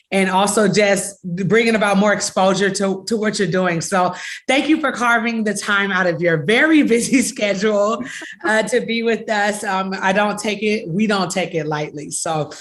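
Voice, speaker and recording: A woman's voice: mid-range voice, fairly bright tone. A woman hosting a conversational interview as a pastor, speaking in an effusive, welcoming way. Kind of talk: warm-up introduction and conversational interview.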